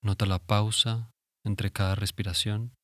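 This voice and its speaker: A man leading a guided relaxation meditation in a calm, soothing, instructive way with long pauses. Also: lowish voice, no room sound, clean studio sound. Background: music.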